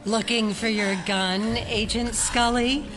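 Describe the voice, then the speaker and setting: An actress playing a menacing, fanatical cultist killer in an audio drama, taunting and condescending. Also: female voice, fairly high pitch, steady tone, slow pace. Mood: sinister, taunting. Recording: voice under loud dramatic music. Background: loud suspense music.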